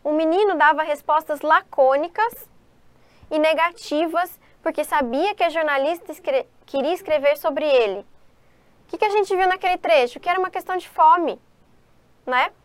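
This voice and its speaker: A woman's voice: high-pitched, moderate pace, dry recording. A Brazilian Portuguese-speaking woman teacher walking students through exam questions, asking and answering her own questions. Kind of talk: exam-prep lesson, reading and explaining questions.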